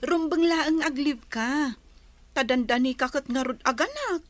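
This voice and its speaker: A woman's voice: sits high, measured pace, no room sound. A woman with a Filipino accent playing a weary young working woman in a radio drama. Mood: concerned. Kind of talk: Ilocano radio drama acting.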